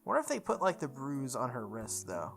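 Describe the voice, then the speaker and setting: Low-voiced woman reading a visual novel. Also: wildly swooping pitch, fairly quick, vocal fry, faint music behind. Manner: informal, reactive. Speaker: gaming channel host. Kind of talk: casual playthrough, reading visual novel narration aloud with asides.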